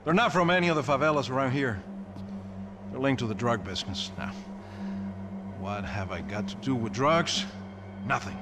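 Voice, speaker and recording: Man acting grave, burdened rich businessman, mid-pitched. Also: lively intonation, normal speed, pauses often, noisy background, lightly acted. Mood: troubled. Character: rich, troubled Brazilian businessman, measured and burdened.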